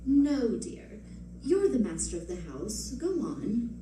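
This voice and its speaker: Woman as a fluttery high-voiced radio wife. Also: big pitch swings, slow pace. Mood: coaxing. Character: elegant, anxious wife of a detective, fondly coaxing. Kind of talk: old-time radio crime drama acting. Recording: noisy echoey live stage, distant mic.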